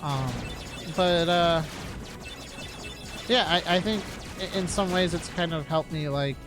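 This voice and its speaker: A young man streaming a game, talking in a halting, reflective way with lots of fillers, his nose stuffed up from congestion. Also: a little high. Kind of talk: casual rambling stream chat. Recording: game music loud behind voice. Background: game music.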